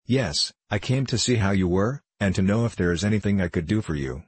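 A man voicing a polite, genteel young lady who speaks gently and courteously. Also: on the low side, a little fast, husky, no echo.

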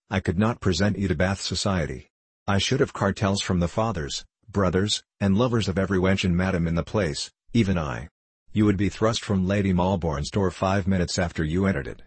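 A man's voice: low-pitched, normal speed, breathy edge, dry recording. A man voicing a haughty duke in an audiobook, with a sneering, contemptuous, bad-tempered manner. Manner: snarling, contemptuous, archaic aristocratic oaths. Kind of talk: audiobook character voice.

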